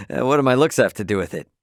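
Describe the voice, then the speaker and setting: A man acting a brash but friendly young spacefaring adventurer in a video game, with a casual, expressive, slangy delivery. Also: mid-range voice, creaky, no echo, clean studio sound. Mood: curious, concerned.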